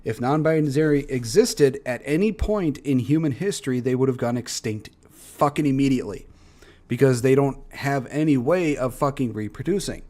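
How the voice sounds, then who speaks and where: A man's voice: mid-range voice, normal speed, darkish tone. Blunt, cursing male reaction streamer. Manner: blunt, profane ranting with frequent swearing. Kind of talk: casual reaction commentary.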